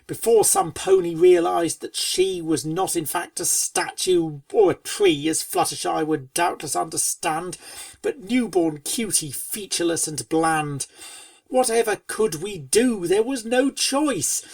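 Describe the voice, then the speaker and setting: A woman reading scripted storybook narration in rhyming verse, with a theatrical, measured cadence. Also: medium pitch, gravelly, darkish tone, dry recording, recorded from a distance. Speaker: fan fiction reader and narrator.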